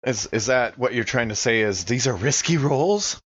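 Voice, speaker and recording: A man's voice: mid-range voice, expressive, moderate pace, breathy edge, highs cut off. A man talking casually as a player at a tabletop role-playing game.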